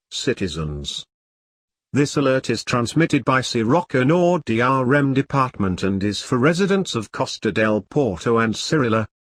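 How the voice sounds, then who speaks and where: A man's voice: medium pitch, no room sound, studio quality, far from the mic. A man reading a formal scripted emergency broadcast announcement in a stiff, impersonal, official manner.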